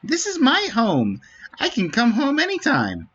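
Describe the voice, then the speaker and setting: A streamer acts out a bubbly teenage fairy-folk girl from a fantasy game. She is exclamatory and defiant, with very animated pitch and an unhurried pace. The recording is a little dull. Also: husky, heavily acted. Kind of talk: dramatic read-aloud of game dialogue.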